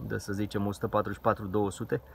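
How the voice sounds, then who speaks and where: A man, an amateur radio operator, speaking into a station microphone in a measured, technical way with small hesitations. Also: fairly low pitch, little pitch movement, creaky, a little hiss or hum. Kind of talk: radio contact exchange.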